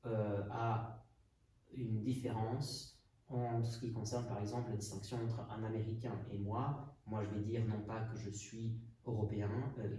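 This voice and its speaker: A podcast host from Portugal with a very dark, low voice speaks hesitantly, pausing on fillers as he thinks aloud. He sounds distant from the mic in a very echoey room with faint background noise. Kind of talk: reflective podcast conversation.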